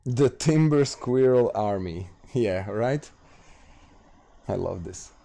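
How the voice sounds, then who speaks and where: A man from Slovakia with a Slovak accent, hosting a late-night gaming livestream and answering chat questions in a halting, casual way full of fillers. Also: lowish voice, animated, vocal fry, mellow. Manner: halting, many fillers and restarts, reads out chat. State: tired, streaming late at night.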